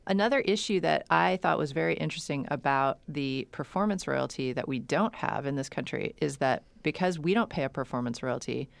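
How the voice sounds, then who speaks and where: A woman's voice: deep voice, gravelly, dry recording. A woman hosting a radio show, asking guests curious questions. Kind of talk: interview questions.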